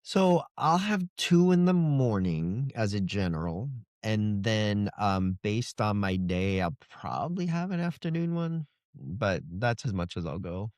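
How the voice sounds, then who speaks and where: A man's voice: fairly low pitch, expressive, unhurried, a little mellow, dry recording, studio quality. A man speaking casually as an author being interviewed on a podcast. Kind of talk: casual chat.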